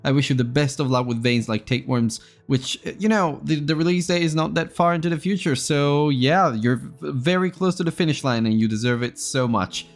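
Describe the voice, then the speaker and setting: A man hosting a podcast in a casual interview style, speaking haltingly and often repeating words as he goes. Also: a little high, soft background music. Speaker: podcast host.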